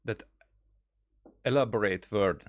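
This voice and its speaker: Low-pitched male gaming streamer, halting delivery. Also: a little hiss or hum. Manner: halting, repeats words while thinking aloud. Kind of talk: casual game playthrough commentary.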